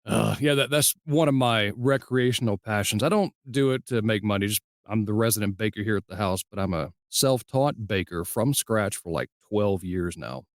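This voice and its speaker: A man's voice: on the low side, moderate pace, few pauses, no echo, studio quality. A man hosting a podcast in a casual, conversational way, playfully acting out the lines he quotes. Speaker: podcast host. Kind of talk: casual interview chat.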